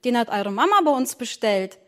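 A woman's voice: fairly high pitch, very animated, moderate pace, a bit bright. A woman voicing a baker's boy who answers in short, matter-of-fact words. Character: baker's boy, curt and dutiful.

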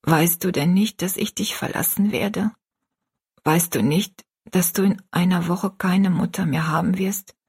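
A woman voicing a gravely ill, gentle and pious mother in a story, half-whispered, slow and earnest. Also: fairly low pitch, full character voice. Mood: sad, grave.

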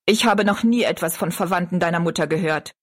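A woman's voice: lowish voice, fairly quick, lightly acted. A woman voicing a harsh, contemptuous stepmother in an audiobook story, stern and cutting. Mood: dismissive, harsh. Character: cold, scornful stepmother, harsh mistress of the house.

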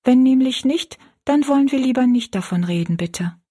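Woman voicing an earnest little girl, high-pitched and husky. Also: expressive, normal speed. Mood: gentle, hesitant. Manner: gentle, earnest, instructive. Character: earnest, innocent, pious young girl, politely explaining.

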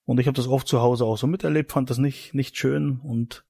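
Husky, mellow man with a creaky edge. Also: mid-range voice, fairly even intonation, a little fast, dry recording, clean studio sound. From Mecklenburg-Vorpommern, eastern Germany. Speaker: podcast guest, missionary. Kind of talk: casual autobiographical storytelling. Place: studio.

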